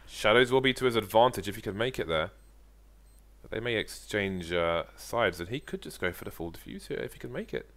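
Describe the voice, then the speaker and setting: A man commentating on a competitive video game match, measured and analytical, with playful banter. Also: lowish voice, expressive, a bit bright. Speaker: esports caster. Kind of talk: color commentary.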